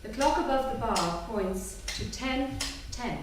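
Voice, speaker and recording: A woman narrates, reading out scene headings and stage directions. Her voice is fairly high and comes from far off in a very echoey theatre, with loud noise around it. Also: expressive, unhurried.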